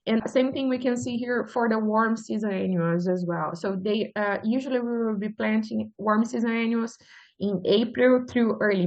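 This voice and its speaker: A woman with a Brazilian Portuguese accent, Portuguese her first language, presents on pasture forages. She speaks in an earnest, explanatory way, with frequent "uh" pauses and a slightly raised pitch. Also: highs cut off. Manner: many "uh" fillers, earnest and explanatory. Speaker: forage extension specialist. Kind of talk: webinar presentation.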